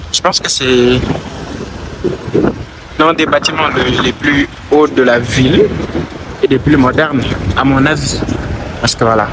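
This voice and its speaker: A young man casually narrating a travel vlog while walking through city streets, thinking aloud with hesitant restarts. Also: medium pitch. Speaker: travel vlogger. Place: busy city streets outdoors. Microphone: handheld camera while walking. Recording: outdoor street noise. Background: street and traffic noise.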